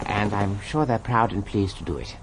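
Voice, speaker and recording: An actor playing a nervous, deferential British air force officer in a radio play, speaking hesitantly and placatingly. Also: male voice, lowish voice, moderate pace, close to an everyday voice. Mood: careful, anxious. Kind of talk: satirical radio play acting. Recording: noisy radio drama recording.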